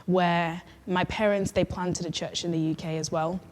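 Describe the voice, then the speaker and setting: A woman's voice: fairly low pitch, fairly even intonation, moderate pace, darkish tone. A young woman guest in a casual interview, telling her story in a chatty, reflective way with many fillers. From grew up in Norway, then the UK. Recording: long reverb, faint background noise.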